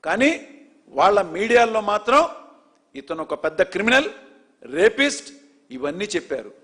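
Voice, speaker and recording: Emphatic high-voiced male news presenter. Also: sing-song, moderate pace, a bit bright. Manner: indignant, emphatic, rhetorical questions. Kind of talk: political news commentary.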